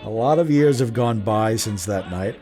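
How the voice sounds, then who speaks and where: Male actor as older Irish-American ex-drinker, low voice, folksy radio drama narrator, slangy, rambling, music underneath. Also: animated, normal speed, warm tone, close to an everyday voice. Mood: wry, defiant. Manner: slangy, chatty, rambling with self-corrections and chuckles. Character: older Irish-American man, wry blunt ex-drinker storyteller.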